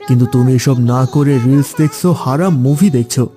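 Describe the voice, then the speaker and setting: A man's voice: mid-range voice, quick pace, warm tone, faint music behind, lightly acted. A man voicing a stern, lecturing father figure in a children's cartoon. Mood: stern, admonishing. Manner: lecturing, admonishing. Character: stern preachy father, later gruff scolding gambler uncle. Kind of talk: Islamic children's cartoon dubbing.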